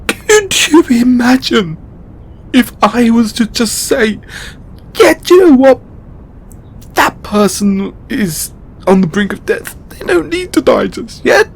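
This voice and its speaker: An actor playing a distraught, overburdened god in an emotional audio roleplay, a man speaking tearfully and haltingly with exasperated swearing. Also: extremely high pitch, big pitch swings, gravelly, breathy edge, full character voice. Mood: anguished, defensive. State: crying. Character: distraught, overburdened male god venting to his devotee.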